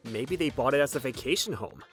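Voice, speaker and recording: A woman's voice: rumbling low, expressive, brisk. A woman voicing a level-headed, sensible husband in a manga dub, conversational and reasoning, with background music. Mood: calm, reasoning. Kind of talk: manga dub character acting.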